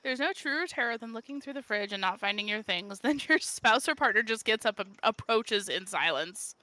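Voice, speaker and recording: A woman's voice: on the high side, animated, normal speed, bright, forceful tone, slight background noise, poor audio. A woman co-hosting a game stream in casual chat, blunt and cheerful, swearing freely. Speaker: streamer co-host. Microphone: voice chat call.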